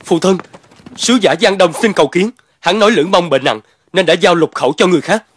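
A man's voice: high-pitched, a little fast, slightly rough. A man playing a dutiful young warrior son in a cartoon dub, respectful and formal. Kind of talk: dubbed animated historical drama.

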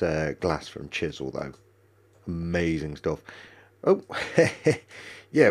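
Man, deep voice, unhurried, bunged up from a cold, rambling game commentary. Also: a little mellow. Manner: rambling, many ums and restarts. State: stuffy nose from a cold. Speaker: gameplay commentator. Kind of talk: casual let's-play commentary.

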